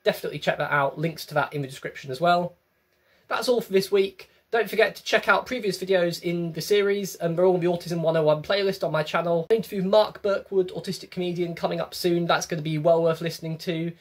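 A man's voice: high-pitched, fast talker. A young English man, a comedian, talking to camera in a wry, chatty, self-mocking comic monologue from a small room. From England. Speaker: comedian, web series host. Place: small bedroom with bookshelves.

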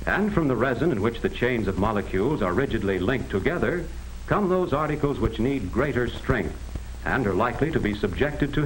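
An American man reading scripted 1940s educational film narration in a measured, explanatory manner on a vintage soundtrack. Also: medium pitch, a little hiss or hum, poor audio. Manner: measured, explanatory, addressing the listener directly.

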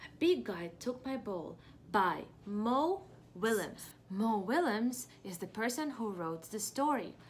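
A young woman teaching preschoolers through a picture-book read-aloud, asking questions expressively and pausing for answers. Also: mid-range voice, big pitch swings, slight background noise. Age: young adult. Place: small home room indoors.

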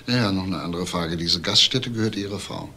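An actor playing an insistent, probing police detective in a crime drama, nudging with questions. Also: male voice, low voice, brisk, sharp tone. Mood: businesslike. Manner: probing, with tag questions and false hesitancy. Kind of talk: naturalistic German TV crime drama acting. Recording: roomy, noisy old TV soundtrack.

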